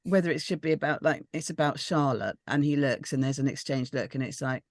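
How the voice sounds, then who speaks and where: A woman's voice: sits low, fairly quick, darkish tone, dry recording, studio quality. A woman giving informal feedback on a script, tentative and hesitant as she thinks aloud. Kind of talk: informal spoken critique of a script.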